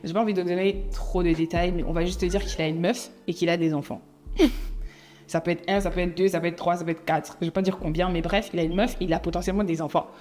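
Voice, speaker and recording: A woman's voice: fairly low pitch, fast, slight background noise. Chatty young woman telling a story. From Belgium. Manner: chatty, animated, laughs often, mixes in English phrases. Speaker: vlogger. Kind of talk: casual storytime monologue.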